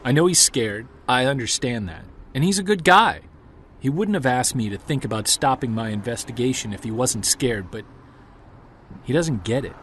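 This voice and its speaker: A man's voice: mid-range voice, animated, normal speed, a bit bright, slight background noise, lightly acted. A man playing a stubborn, determined amateur investigator in an audio drama, speaking in a casual, earnest, conversational way. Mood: troubled, resolute. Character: determined, stubborn male amateur investigator chasing a creature mystery. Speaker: investigator and narrator. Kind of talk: audio drama dialogue and reflective spoken journal.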